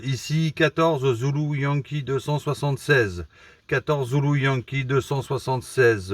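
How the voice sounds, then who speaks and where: A man giving halting, procedural replies over two-way radio as an amateur operator. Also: medium pitch, no echo. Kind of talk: casual radio exchange. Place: mobile station. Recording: dry, distant-sounding radio microphone.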